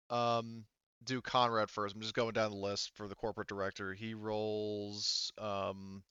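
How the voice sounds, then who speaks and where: A man running a tabletop game as game master, explaining rules casually and haltingly as he thinks aloud. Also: lowish voice, bright, forceful tone, smooth, no echo, lo-fi sound.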